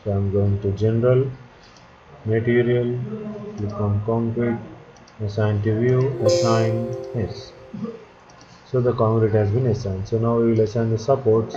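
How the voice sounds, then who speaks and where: A man narrating a step-by-step software tutorial as an instructor, speaking in short, halting instructional fragments. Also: on the low side, little pitch movement, music in the background. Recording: dull lo-fi audio, background chatter, clicking.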